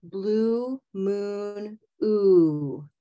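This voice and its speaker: Slow, careful woman pronunciation teacher. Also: medium pitch, dark tone. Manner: drawn-out, modeled words and encouraging prompts. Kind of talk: slow, clear pronunciation coaching. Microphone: webcam on a video call.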